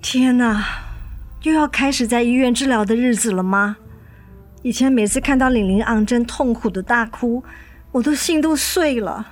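Casting: devoted, heartsick mother played by an actress, high plaintive voice, emotional radio drama delivery, music underneath. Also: normal speed, lightly acted. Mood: distressed. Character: anguished, devoted young mother of sick child. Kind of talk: Mandarin radio drama acting.

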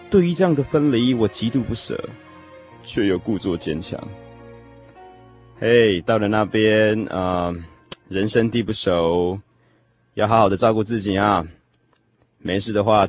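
An actor playing a lovesick young man, telling his lost first love in an expressive, emotional radio drama style. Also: fairly low pitch, moderate pace, pauses often, close to an everyday voice. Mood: wistful, then bravely tender. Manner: expressive, sighing, emotional storytelling. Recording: music playing behind the voice. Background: music.

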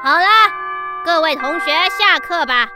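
A woman's voice: high voice, unhurried, music in the background. A woman with a Taiwanese Mandarin accent playing a strict, exasperated schoolteacher in a children's radio drama, scolding and bossy.

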